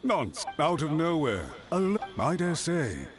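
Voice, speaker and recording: An actor playing a self-righteous, indignant clan retainer villain in a video game, with a pompous, formal manner. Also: male voice, fairly high pitch, big pitch swings, measured pace, over music.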